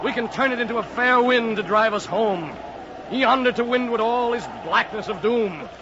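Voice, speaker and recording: An actor playing a stern first mate in an old radio drama, speaking with urgent, commanding archaic diction. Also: male voice, very high voice, normal speed. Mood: urgent pleading. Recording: muffled old radio recording, storm noise.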